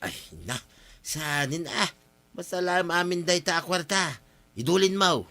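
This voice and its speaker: An actor playing a wheedling village husband in a radio drama, with an Ilocano accent and an emphatic, pleading delivery. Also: male voice, high-pitched, a little slow, sharp tone, exaggerated voice. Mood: earnest, contrite. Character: wheedling village husband, a drinker seeking credit.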